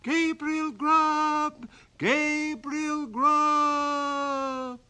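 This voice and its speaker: A wild, distant goblin chorus. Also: male voice, fairly even intonation, sharp tone, full character voice. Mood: eerie chanting. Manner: high, drawn-out calling from far off.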